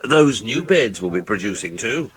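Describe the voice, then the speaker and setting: A man's voice: fairly low pitch, big pitch swings, normal speed. An actor playing a mild, enthusiastic country-house man, placating in manner, in a radio soap.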